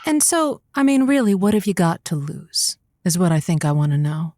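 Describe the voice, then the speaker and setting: A woman's voice: on the low side, sing-song, moderate pace, mellow, dry recording, studio quality. A woman playing a folksy, theatrical advice host on an improvised comedy call-in show. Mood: probing.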